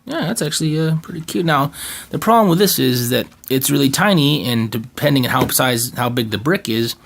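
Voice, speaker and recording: Raspy male vlogger, offhand unboxing chatter, jokey asides, faint hiss behind. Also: mid-range voice, lively intonation, fairly quick, fairly bright tone. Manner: joking asides, frequent fillers and restarts. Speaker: channel co-host doing an unboxing. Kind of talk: casual unboxing commentary. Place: home gaming room.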